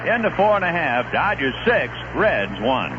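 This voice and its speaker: Lively baseball radio announcer, muffled broadcast. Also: male voice, on the high side, normal speed, heavy background noise. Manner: lively, conversational, reeling off statistics. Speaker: play-by-play announcer.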